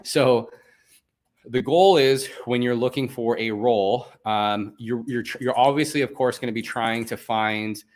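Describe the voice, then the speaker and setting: A man's voice: mid-range voice, a little slow. A man from Venezuela, a native Spanish speaker, hosting a live online event in a chatty, upbeat way with many fillers. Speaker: host.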